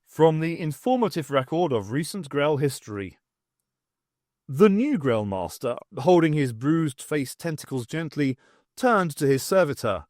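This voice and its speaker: A man reading a story aloud as an audiobook narrator, in an expressive scripted style. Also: on the high side, a little slow, dry recording, clean studio sound.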